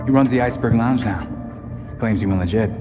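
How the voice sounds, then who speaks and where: Man acting young game hero, fairly low, informal, skeptical, hall reverb, music bed. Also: fairly quick, natural voice. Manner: informal, matter-of-fact. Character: casual, skeptical young male vigilante teammate. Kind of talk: video game cutscene acting.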